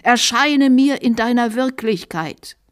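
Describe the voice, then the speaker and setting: A woman voicing an old bishop, fawning and fiercely zealous, with a quavering, shrill voice in a storybook reading. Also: animated, slow pace, dry recording, clean studio sound. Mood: shrill, commanding. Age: older. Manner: wheedling or fiercely commanding. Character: old bishop, fawningly tender, then shrieking with zealous malice.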